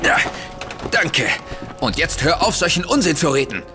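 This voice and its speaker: An actor playing a young son and hero who talks urgently and bluntly, with dry sarcasm, in a German radio drama. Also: male voice, a little high, sing-song, normal speed, music in the background. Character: determined young hero, stubborn and quick-witted.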